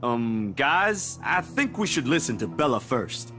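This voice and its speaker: A man's voice: medium pitch, sing-song, unhurried. An actor playing a mild-mannered young man in a visual novel, hesitant and conciliatory as the group's peacemaker. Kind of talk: dramatic visual novel voice acting. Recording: music under the dialogue.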